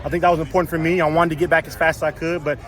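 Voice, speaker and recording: Young man, college lineman, very fast talker, slightly high voice, loud and carrying, lots of "you know", outdoor scrum with crowd chatter. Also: level tone. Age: young adult. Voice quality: loud voice that carries. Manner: rapid, filler-heavy, repeats words. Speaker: college football offensive lineman. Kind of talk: casual post-practice interview answers.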